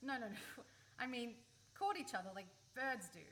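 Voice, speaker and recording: A woman's voice: on the high side, moderate pace, a bit bright, close to an everyday voice. An Australian woman playing a sensitive, impulsive young woman in a naturalistic radio play, secretly in love with her friend. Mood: wistful. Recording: live recording with faint background noise.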